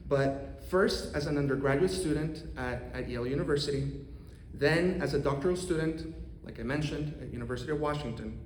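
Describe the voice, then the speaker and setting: A man in his thirties from Costa Rica with a Costa Rican accent, an economics professor giving an earnest, measured prepared talk to a congregation. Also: on the high side, slight background noise. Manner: earnest, measured, with occasional restarts. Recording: roomy hall reverb, faint ambience.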